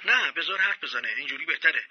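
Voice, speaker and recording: A man playing a brisk, composed woman television reporter in an audio drama. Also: fairly high pitch, expressive, breathy edge, dull audio. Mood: casual, curious.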